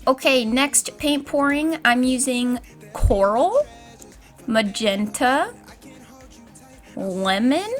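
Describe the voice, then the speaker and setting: A young woman with a high, stuffy, congested voice, narrating her crafting vlog in a chatty, upbeat and self-mocking way. Faint music plays softly under her voice-over. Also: lively intonation, drawn-out words, pauses often. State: congested from a cold. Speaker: YouTube crafts vlogger. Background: faint background music.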